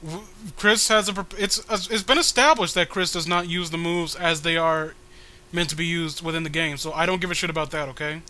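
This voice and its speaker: Man, high bright voice, exasperated halting rants, profane, casual comedic commentary. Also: steady tone. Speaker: co-host of a comic read-along.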